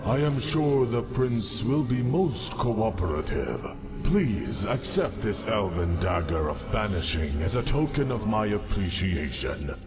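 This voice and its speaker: Cold scheming game villain type, really slow n formal, music playing behind him. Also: male voice, fairly low pitch, lively intonation. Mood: grave, confiding. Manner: measured, formal, deliberate. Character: cold, scheming male mystery figure granting dark errands. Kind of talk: scripted video game dialogue.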